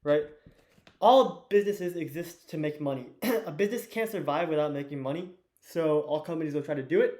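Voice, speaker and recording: Hoarse teenage boy teaching at a whiteboard. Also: fairly high pitch, fairly quick, darkish tone. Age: teens. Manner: loose and chatty with many fillers and restarts. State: hoarse, losing his voice. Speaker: student teacher of an investing course. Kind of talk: whiteboard lesson.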